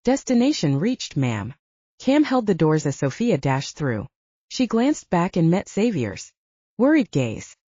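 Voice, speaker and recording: A woman's voice: on the low side, sing-song, measured pace, mellow, husky, no room sound. A woman narrating fiction as a scripted, dramatic audiobook reading. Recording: slightly dull, dry close recording.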